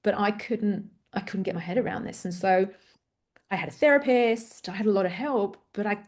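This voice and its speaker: Woman, middle-aged, English accent, from Greece; interview guest, candid, animated, self-deprecating storyteller. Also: medium pitch, moderate pace. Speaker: parent coach guest. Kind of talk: conversational interview.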